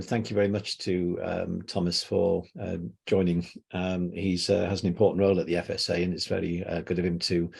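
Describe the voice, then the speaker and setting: Husky, gravelly meeting chair. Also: male voice, low voice, a little mellow. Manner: polite, halting.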